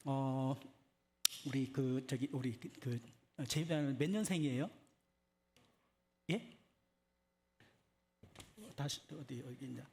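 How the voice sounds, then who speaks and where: A man's voice: mid-range voice, slow, vocal fry, pauses often, darkish tone, a little hiss or hum. A man preaching in a conversational style, putting questions to listeners and often repeating short affirmations. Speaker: preacher at a church retreat. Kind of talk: conversational sermon with questions to congregation.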